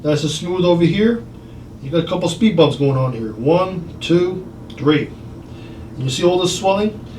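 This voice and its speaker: A man's voice: fairly high pitch, expressive, measured pace, darkish tone. A man working as a chiropractor, talking a patient through an exam with short questions and explanations. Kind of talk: clinical consultation and hands-on examination talk. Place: indoor chiropractic clinic. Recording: roomy, noisy clinic, distant mic.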